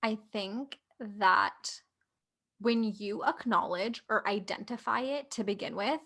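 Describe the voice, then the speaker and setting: A woman's voice: mid-range voice, slow pace, fairly bright tone. A young woman with a Canadian accent, co-hosting a podcast and talking things through with many fillers. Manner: thoughtful, filler-heavy, many "like"s. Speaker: podcast co-host. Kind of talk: casual conversation.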